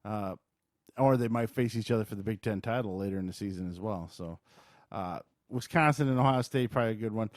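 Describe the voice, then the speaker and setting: A man's voice: lowish voice, a little fast, no room sound, studio quality. A man co-hosting a podcast, talking sports in an informal, opinionated, conversational way. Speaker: podcast co-host. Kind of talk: casual sports chat.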